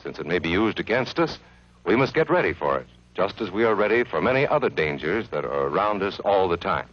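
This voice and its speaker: A man's voice: sits low, very animated, brisk, dull audio. A man narrating an educational film for children in an earnest, friendly, instructive way, from an old 1950s soundtrack.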